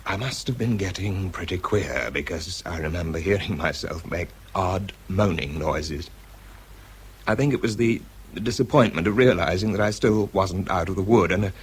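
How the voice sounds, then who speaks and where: A man acting in a radio drama as an exhausted, shaky, methodical bomb disposal expert, speaking haltingly under strain. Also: lowish voice, slight background noise, lightly acted. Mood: weary, reflective. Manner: halting, hesitant, muttering as he works. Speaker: actor and narrator in a radio drama. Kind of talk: radio drama acting, first-person monologue.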